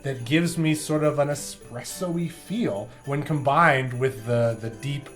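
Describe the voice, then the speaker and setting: A man hosting a review show in casual comic banter, with animated, exaggerated reactions. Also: mid-range voice, takes it slowly, soft background music. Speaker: beer review show host.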